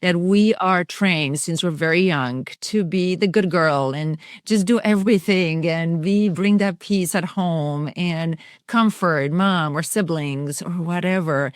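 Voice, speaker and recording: A middle-aged woman speaks in a low register, explaining ideas earnestly in long, flowing runs. She is a guest on a podcast interview. The sound is dry and close, with no room echo. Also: a little slow, few pauses. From Romania. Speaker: guest psychiatrist and coach.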